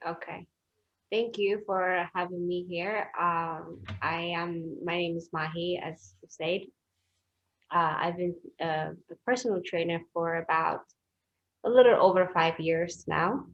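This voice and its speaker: Soft-spoken woman on a muffled remote call. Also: lowish voice, steady tone, measured pace. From Ethiopia. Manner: soft-spoken, hesitant, laughs easily. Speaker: personal trainer, guest. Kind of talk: casual interview chat. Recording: dull lo-fi remote audio.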